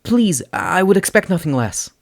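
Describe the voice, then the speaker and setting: Man voicing an anxious high-pitched teenage boy. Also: very animated, normal speed, gravelly, heavily acted. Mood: anxious, hurt. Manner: hesitant, stammering. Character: anxious, earnest, soft-hearted teenage boy hero student.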